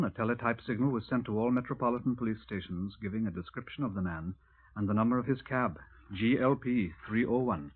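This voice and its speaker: Methodical British Scotland Yard detective: husky, formal and measured, heard on a narrow, aged radio-drama recording. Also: male voice, lowish voice. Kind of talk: 1950s radio drama acting and narration. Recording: tinny, narrow-band vintage radio recording.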